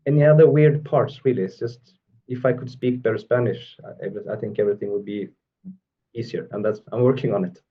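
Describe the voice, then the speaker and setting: Man, halting thoughtful talk, mid pitch, muffled call audio. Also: steady tone, slightly rough. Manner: halting, restarts phrases, thoughtful. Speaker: guest musician. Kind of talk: casual video call interview.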